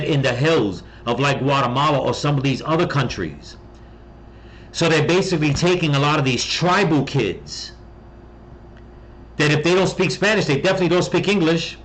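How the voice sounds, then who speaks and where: A man's voice: fairly high pitch, a bit bright, poor audio. An American man giving casual reaction commentary in a blunt, emphatic way. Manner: blunt, emphatic, mildly profane. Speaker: video commentator.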